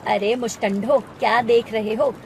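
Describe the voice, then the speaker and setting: A woman voicing a cruel male moneylender who threatens poor farmers in an animated moral story. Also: a little high, unhurried, creaky, a little hiss or hum. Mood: furious, threatening. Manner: domineering, threatening, loud.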